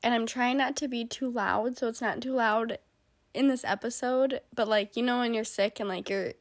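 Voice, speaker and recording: A woman's voice: a little high, steady tone, normal speed, dry recording. Congested young woman podcast host. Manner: chatty, many ums, laughs often. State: sick, congested. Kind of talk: casual solo podcast chat.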